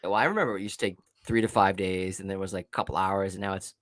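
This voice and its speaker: A man in his late thirties chatting casually on a livestream, telling rapid, profane stories. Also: deep voice, steady tone, fairly bright tone, dry recording, studio quality. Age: 30s. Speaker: livestream guest. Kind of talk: casual chat.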